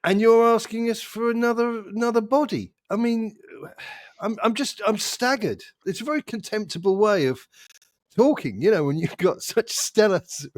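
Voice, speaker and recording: A man's voice: high voice, moderate pace, no echo. Man in casual football banter, dry close sound. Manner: joking, sarcastic, sweary. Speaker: football podcast host. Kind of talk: casual fan banter and chat.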